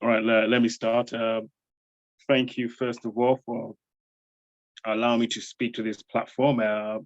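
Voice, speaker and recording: A man's voice: mid-range voice, flat delivery, a little slow, dry recording, highs cut off. A man from Tanzania, a guest introducing himself over a video call, talking modestly with many fillers. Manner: soft-spoken, many fillers like um and you know. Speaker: interview guest. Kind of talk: humble, measured self-introduction.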